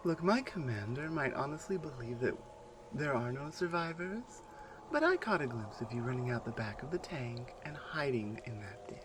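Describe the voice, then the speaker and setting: A man playing a menacing, taunting non-human warrior captor in an ASMR roleplay, speaking deliberately and with command. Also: medium pitch, big pitch swings, background noise, close to an everyday voice. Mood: stern, threatening. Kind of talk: ASMR roleplay audio drama.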